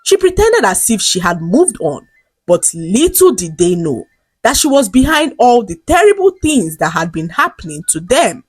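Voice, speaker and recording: A woman narrates a folktale in a deliberate, dramatic storytelling style. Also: mid-range voice, big pitch swings, breathy edge, dry recording, faint music behind.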